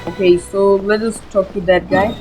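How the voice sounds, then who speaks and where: A woman's voice: mid-range voice, little pitch movement, moderate pace, music in the background, poor audio. A woman giving offhand, casual gameplay commentary, leaning heavily on filler words. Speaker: game streamer.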